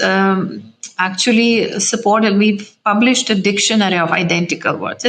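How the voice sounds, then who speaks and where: A middle-aged woman with a Lithuanian accent, English being her second language. Her voice sits at an ordinary mid pitch. She explains things carefully and at length, with many "uh"s, small restarts and a thoughtful, measured delivery. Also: fairly bright tone, rough recording. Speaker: ambassador. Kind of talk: thoughtful interview answer.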